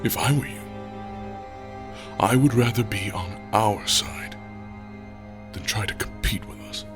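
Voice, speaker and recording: A man's voice: on the low side, over music, lightly acted. A man playing a solemn, commanding leader. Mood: resolute. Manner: measured, solemn, quietly menacing. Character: commanding male leader addressing a council. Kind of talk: audio drama acting.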